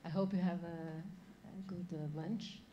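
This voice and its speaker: Female session host, mellow, hesitant intro, dull audio with faint hum. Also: fairly low pitch, little pitch movement, a little slow. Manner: hesitant, many fillers. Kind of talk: brief introduction. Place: lecture hall.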